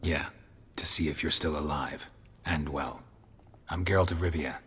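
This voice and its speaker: An actor with an American accent playing a stoic, gruff monster hunter, terse and deadpan, with a raspy voice, in a video game. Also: male voice, rumbling low, normal speed, long reverb tail, slight background noise. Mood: measured, insistent. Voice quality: very gravelly, husky rasp.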